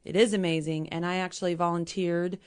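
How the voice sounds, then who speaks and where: Midwestern woman guest, hoarse, slightly low voice, earnest explainer. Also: little pitch movement, a little fast. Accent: Midwestern American. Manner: earnest, explains with everyday examples. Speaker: company president, guest. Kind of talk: casual interview talk.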